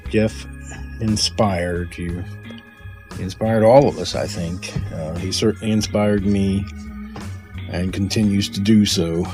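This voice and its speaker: A man hosting a tribute, giving a measured, heartfelt eulogy. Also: low voice, fairly even intonation. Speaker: tribute host. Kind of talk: spoken eulogy, closing thanks. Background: guitar music.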